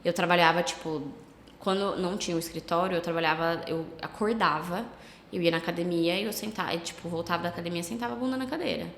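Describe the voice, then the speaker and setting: A Brazilian woman with a slight cold, a travel agency owner chatting casually as a podcast guest, relaxed and full of fillers. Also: lowish voice, quick pace, slight background noise. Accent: Brazilian Portuguese. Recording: echoey room with long reverb.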